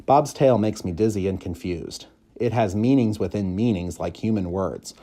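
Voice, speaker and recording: Low, mellow man reading a story. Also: moderate pace. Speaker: audiobook reader, school staff member. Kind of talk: expressive storybook narration, first person.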